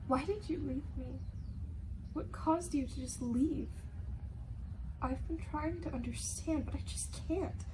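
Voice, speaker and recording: Young woman as a high-voiced, anguished grieving teenage girl. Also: slow, pauses often, noisy background. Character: grieving, angry, bewildered teenage friend of dead girl. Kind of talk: emotional stage monologue.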